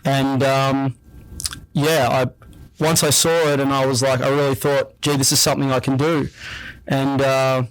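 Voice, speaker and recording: Young Australian guy as a relaxed radio guest, average pitch, close and dry. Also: measured pace, clean tone, no echo, rough recording. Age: young adult. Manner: relaxed, easygoing, repeats himself with frequent fillers. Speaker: guest athlete. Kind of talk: casual radio interview.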